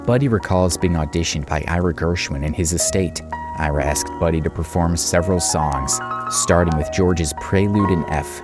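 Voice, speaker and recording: Really low male voice doing scripted documentary narration, music playing loud enough behind him.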